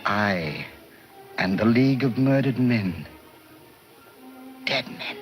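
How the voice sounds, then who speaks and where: An actor playing a menacing criminal mastermind in a 1940s film serial, speaking in a deliberate, theatrical, mock-polite manner. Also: male voice, lowish voice, lively intonation, lo-fi sound. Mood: slow, gloating menace. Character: menacing male criminal mastermind posing as voice of death. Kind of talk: 1940s film serial villain acting, radio broadcast speech. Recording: 1940s film soundtrack, music behind.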